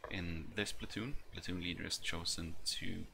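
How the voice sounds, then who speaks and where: A man's voice: sits low, fairly even intonation, vocal fry. A man, not an English speaker, gives a hesitant walkthrough with many ums and uhs. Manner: hesitant, halting delivery full of ums. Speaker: hobbyist video maker. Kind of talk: casual walkthrough with many fillers. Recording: noisy home recording with a stutter.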